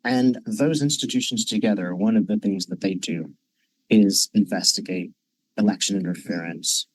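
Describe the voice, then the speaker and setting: A man's voice: lowish voice, moderate pace, no echo, poor audio. A man hosting a commentary video, rambling and conspiratorial, with many hesitant fillers. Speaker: channel host. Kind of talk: casual commentary.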